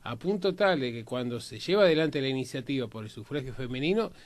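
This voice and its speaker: A man's voice: medium pitch, lively intonation, quick pace. An Argentine man discussing history as a panelist, explaining emphatically and checking his points with short tag questions. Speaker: panelist on a history and politics programme.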